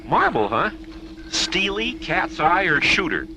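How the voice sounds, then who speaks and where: An actor playing an easygoing, joking space shuttle co-pilot in a science fiction radio drama, with a casual delivery. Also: male voice, sits high, very animated, slow pace, noisy background, full character voice. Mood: bantering, relaxed. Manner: casual, bantering.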